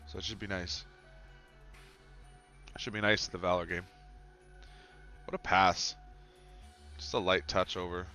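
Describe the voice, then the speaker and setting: A man with a stuffy, cold-clogged voice, casually commenting as he plays a football management game, musing and then breaking into sudden excited reactions. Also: low voice, unhurried, pauses often, faint music behind. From Canada.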